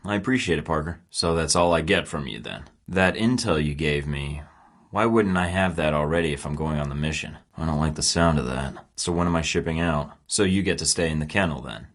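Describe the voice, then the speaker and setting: A man's voice: low-pitched, a bit bright. An actor voicing a cocky young male spy recruit in a video game, speaking in a wry, sarcastic, self-assured way. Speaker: game character.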